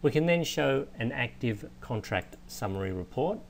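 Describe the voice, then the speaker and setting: A man giving a methodical, explanatory software walkthrough in the manner of a trainer. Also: medium pitch, normal speed. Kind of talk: instructional screen walkthrough narration. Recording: clear steady background noise.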